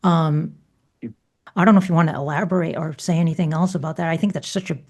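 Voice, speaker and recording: Low, creaky-voiced woman hosting a podcast. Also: fairly even intonation, quick pace, a little mellow. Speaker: podcast host. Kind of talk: conversational hosting, reading aloud from a book.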